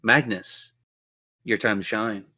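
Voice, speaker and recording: A man's voice: fairly low pitch, fairly even intonation, slow, pushed and bright. A man running a tabletop role-playing game as game master, telling the story in a descriptive, theatrical way.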